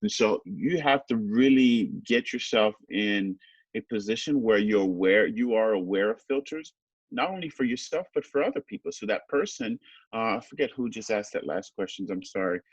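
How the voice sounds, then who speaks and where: A man's voice: fairly low pitch, expressive, normal speed, breathy edge, dry recording, poor audio. A middle-aged man from Haiti, a teacher answering student interview questions thoughtfully, with many fillers and a habit of adding "right?".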